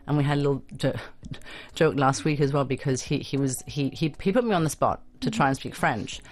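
A woman's voice: rumbling low, brisk. A woman speaking as a guest on a talk show, explaining patiently with frequent tag questions and restarts. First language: not Arabic. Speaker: guest expert on children's language learning. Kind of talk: radio talk show discussion.

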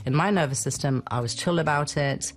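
A woman's voice: low-pitched, fairly quick. A woman speaking as a guest on a talk show about raising bilingual children, chatty and reassuring, often restarting her sentences. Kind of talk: radio talk show conversation.

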